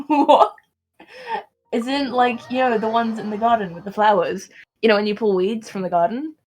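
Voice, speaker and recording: A woman's voice: fairly even intonation, moderate pace, darkish tone. A young woman chatting casually and playfully as co-commentator over a video game. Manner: giggly, playful. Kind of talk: casual joking chat over gameplay. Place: online voice call. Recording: noisy low-quality voice call.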